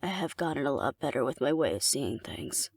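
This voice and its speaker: A woman's voice: extremely low pitch, big pitch swings, fairly quick, darkish tone, slightly rough, no room sound, exaggerated voice. A woman playing a cocky, flirtatious female alpha werewolf in an intimate audio roleplay, speaking to the listener in a wry, teasing, confident way. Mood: brooding, guarded.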